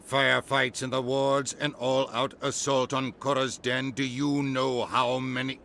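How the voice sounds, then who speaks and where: Fed-up scolding bureaucrat in a game, medium voice, faint room noise. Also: male voice, medium pitch, level tone, measured pace, vocal fry, bright, forceful tone. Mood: exasperated. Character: exasperated, scolding male official. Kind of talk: video game voice acting. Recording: game dialogue over faint ambience.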